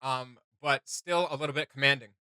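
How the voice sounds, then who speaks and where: A man's voice: medium pitch, fairly even intonation, a little fast, sharp tone, smooth, dry recording. A man hosting a reaction video, chatting informally and enthusiastically. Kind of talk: casual reaction commentary.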